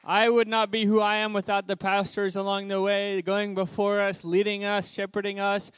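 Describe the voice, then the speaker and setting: Bright-toned young man, clear and dry. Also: high voice, monotone, no room sound. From Zimbabwe. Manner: upbeat, brisk, preacherly. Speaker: missionary guest speaker. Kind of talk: personal testimony in a church service. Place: church.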